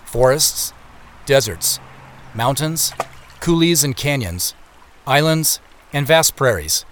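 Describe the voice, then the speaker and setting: An actor playing a reflective man who narrates his secretive search in a measured, descriptive way for a scripted audio drama. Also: mid-range voice, very animated, fairly bright tone, slight background noise. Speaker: narrator and lead character.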